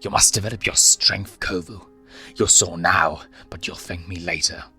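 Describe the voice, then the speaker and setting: Man acting a forceful, ruthless lioness mother. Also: sits low, steady tone, normal speed, slightly rough, faint music behind, exaggerated voice. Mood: stern. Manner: commanding, emphatic oratory. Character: ruthless, scheming lioness mother, driven by revenge. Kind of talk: storybook character reading.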